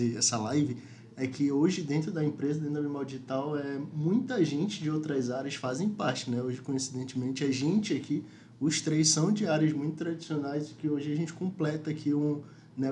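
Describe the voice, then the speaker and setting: A man's voice: on the high side, normal speed, a little mellow, a little hiss or hum. Man hosting a company live chat. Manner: conversational, frequent "né" tags. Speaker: host.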